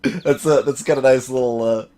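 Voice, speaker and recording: A man's voice: medium pitch, steady tone, moderate pace, dark tone. A man streaming a video game, casually commentating and reading dialogue aloud in a rambling, dryly joking way. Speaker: gaming streamer. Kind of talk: let's play commentary with dialogue read aloud. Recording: clear background noise.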